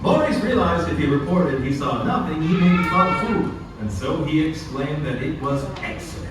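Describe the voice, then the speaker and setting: High-pitched, lively male storybook narrator. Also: moderate pace, over music. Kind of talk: scripted storybook narration for puppet show. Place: indoor attraction puppet show, heard from audience distance. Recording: echoey, distant venue with background noise.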